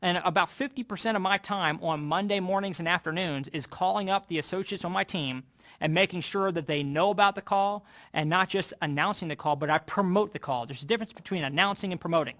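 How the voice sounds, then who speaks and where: A man with a fairly high voice talks quickly as he coaches a sales team. His delivery is motivational and emphatic, and he hammers key phrases by repeating them. He sounds like a team leader training his recruits. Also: fairly bright tone.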